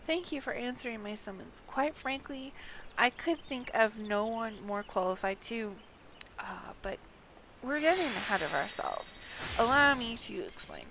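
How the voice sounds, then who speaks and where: A woman voicing a stern male military commander with a formal, measured delivery, as she reads the lines of a video game aloud. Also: fairly high pitch, animated, noisy background.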